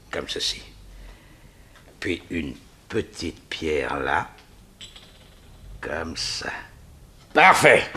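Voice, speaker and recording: Gloating villain, forceful and theatrical. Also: male voice, deep voice, a little hiss or hum, full character voice. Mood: sly, absorbed. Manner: theatrical, mock-polite, chuckling menace. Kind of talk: 1960s French radio crime serial acting.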